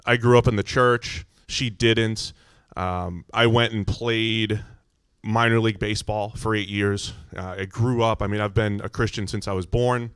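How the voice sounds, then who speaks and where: Visiting church speaker: American man, middle-aged, booming voice on the low side, dry sound, informal storyteller. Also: steady tone, unhurried, clean tone, no room sound. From Massachusetts, United States. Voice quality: loud, booming voice. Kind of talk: personal testimony to a congregation.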